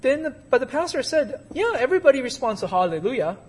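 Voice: high-pitched, big pitch swings, fast talker, darkish tone, slightly rough. An interpreter rendering a Chinese sermon into English line by line, hesitant at times. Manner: hesitant, with fillers and restarts while translating.

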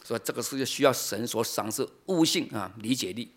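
A middle-aged man from China preaching a sermon in short, explanatory phrases, pausing for an interpreter and posing rhetorical questions. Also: medium pitch, animated.

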